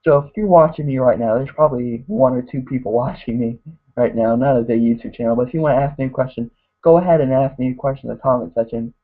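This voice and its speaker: A man reviewing a wrestling show in a rambling casual way, saying "guys" constantly and getting hoarse. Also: medium pitch, fast. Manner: mocks wrestlers in put-on voices. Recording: tinny phone-like lo-fi audio.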